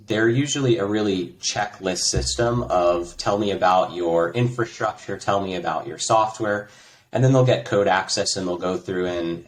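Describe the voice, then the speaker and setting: Deep-voiced American man explaining calmly. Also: a little hiss or hum. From United States. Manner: relaxed, explanatory, with fillers. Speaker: interview guest, tech executive. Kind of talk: conversational interview.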